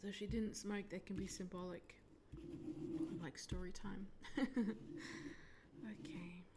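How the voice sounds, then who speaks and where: A woman with a croaky voice, giving a hesitant psychic reading full of ums and whispered asides. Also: mid-range voice, fairly even intonation, slow, noisy background. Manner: hesitant, filler-heavy, drifting into whispered asides. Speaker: psychic medium. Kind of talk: solo psychic reading monologue.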